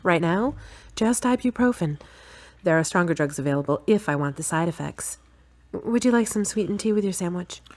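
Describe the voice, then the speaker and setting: Female lead, audio drama: frank, stubborn girlfriend with a lowish voice, brisk and a little bossy acted by a woman. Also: expressive, darkish tone, close to an everyday voice. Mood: frank, weary.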